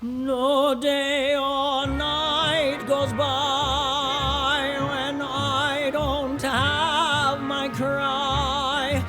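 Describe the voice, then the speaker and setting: Woman singing a slow, high ballad. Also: level tone, over loud music. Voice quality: tender, yearning. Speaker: singer. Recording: full orchestral backing.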